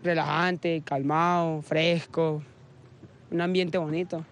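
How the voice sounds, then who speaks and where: A young man from Venezuela, interviewed outdoors at a camp, giving shy, halting answers. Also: fairly high pitch, little pitch movement.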